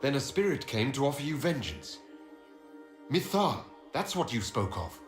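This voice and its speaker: A man's voice: mid-range voice, sing-song, moderate pace, close to an everyday voice. A man playing an earnest, questioning heroic leader in a video game, speaking in a formal, probing manner. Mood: measured, probing. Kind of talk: dramatic video game acting. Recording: roomy reverb with music behind.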